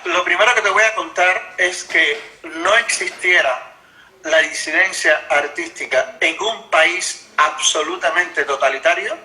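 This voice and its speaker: A man lays out his points at length, measured and explanatory, often restarting a phrase. His voice sits high for a man and sounds very bright and forceful. The recording carries a noticeable room echo. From Cuba. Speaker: interviewed author. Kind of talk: interview answers.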